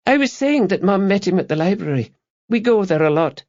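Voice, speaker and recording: Candid, curious schoolgirl daughter, played by a male narrator: high voice, girlish and chatty, slightly dull audiobook sound. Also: expressive, brisk, a little mellow. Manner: girlish, informal, frank. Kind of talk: audiobook character dialogue.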